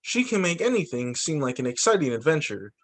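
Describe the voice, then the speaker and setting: Lively male teacher reading storybooks aloud. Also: fairly high pitch, brisk. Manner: expressive, sing-song storybook delivery. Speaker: teacher reading to young children. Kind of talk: read-aloud children's story time. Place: remote video call story session.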